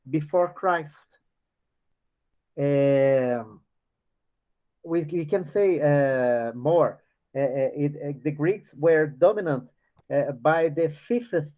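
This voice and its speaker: A man leading a book study class. He reads passages aloud and explains them, halting often and restarting as he searches for words. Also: fairly high pitch. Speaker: study group leader. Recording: dull lo-fi recording.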